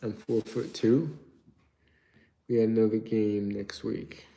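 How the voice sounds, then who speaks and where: A man's voice: on the low side, flat delivery, slow, warm tone. A young man from Turkey chatting casually on a live stream, reading out viewer questions and rambling through his answers.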